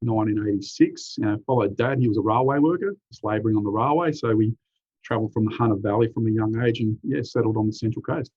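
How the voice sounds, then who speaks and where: A man in his forties with an Australian accent, an easygoing veteran telling his story in a relaxed, chatty way. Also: lowish voice, quick pace, breathy edge. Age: 40s. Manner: easygoing, uses "mate", frequent "um" and "you know". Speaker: military veteran guest. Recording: dry, slightly dull lo-fi recording.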